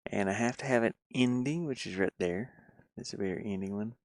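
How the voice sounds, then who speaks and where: Low, creaky-voiced man muttering casually. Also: brisk, no echo. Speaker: game developer streaming his pixel art work. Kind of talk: casual think-aloud work commentary.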